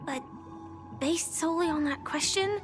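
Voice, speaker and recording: High, gentle young game heroine. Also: female voice, moderate pace, over music. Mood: thoughtful, concerned. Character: gentle, thoughtful young woman in fantasy game. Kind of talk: scripted game dialogue.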